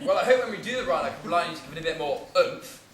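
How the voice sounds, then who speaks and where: A bossy, theatrical director of an amateur play, acted by a man, with a high-pitched voice that swings widely. He marshals his cast with exasperated patience in an echoey room. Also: expressive, racing, over a noisy crowd, close to an everyday voice. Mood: instructing. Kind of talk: acted comedy drama dialogue. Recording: echoey room, some distance, faint noise.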